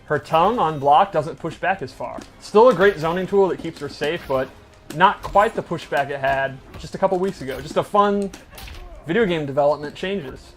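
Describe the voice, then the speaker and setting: A man's voice: fairly high pitch, normal speed. A man who develops and plays games, giving casual live commentary during a match. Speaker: game developer, player and commentator.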